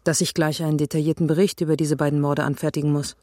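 An actress playing a serious, guarded police investigator in a radio drama, speaking earnestly and reflectively. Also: female voice, low-pitched, flat delivery, fast, mellow, dry recording, studio quality, lightly acted.

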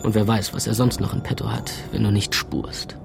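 An actor playing a sarcastic, impatient young slacker in a German science fiction radio play, with a flippant, slangy delivery. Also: male voice, fairly low pitch, fairly even intonation, moderate pace, gravelly, over music. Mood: wry narrating. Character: Jeremy, sarcastic impatient slacker young man trapped in simulation.

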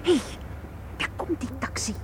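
A woman's voice: normal speed, close to an everyday voice. A woman acting in a radio drama as a determined, businesslike amateur investigator who presses insistently. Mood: resolute. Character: determined, brisk woman investigating, taking charge. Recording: noisy radio play with vehicle ambience.

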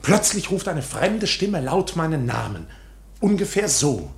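A man's voice: a little high, big pitch swings, a little slow, vocal fry, exaggerated voice. An actor in a German radio play as an anxious, intense man under arrest, speaking formally and hesitantly with bursts of agitation. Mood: troubled.

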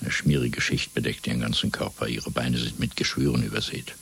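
A man playing a cold, fanatical Party interrogator in a radio drama, speaking in a measured, domineering, rhetorical way. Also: a bass voice, fairly even intonation, vocal fry, fairly bright tone, breathy edge, exaggerated voice. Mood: cold, cruel. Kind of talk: German radio drama acting.

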